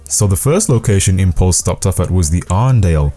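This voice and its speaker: A man's voice: deep voice, lively intonation, brisk, dark tone, faint music behind. A man narrating a short documentary in a scripted, storytelling delivery.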